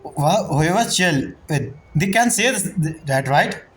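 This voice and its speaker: A man giving casual let's-play commentary as a game streamer, chatty and informal, with halting phrasing. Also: on the high side, animated, slightly rough. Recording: game music behind the voice. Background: game music.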